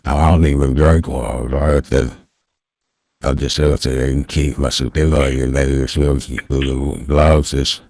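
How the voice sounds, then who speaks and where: An extremely deep, processed voice of a rambling old backwoods Southerner on a prank call. He slurs and mumbles affably with little melody, repeating himself as he goes. The sound is close and dry, run through a voice changer. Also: steady tone, darkish tone, no room sound, exaggerated voice. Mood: rambling, unhurried. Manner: rambling, halting, repeats words. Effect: pitch-lowering voice changer. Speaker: scam baiter. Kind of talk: prank phone call in character.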